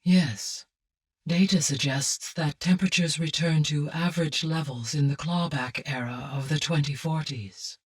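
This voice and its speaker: A woman playing a calm, benevolent artificial intelligence in a video game, speaking in measured, formal, precise phrases. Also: deep voice, breathy edge, far from the mic. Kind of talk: scripted game dialogue.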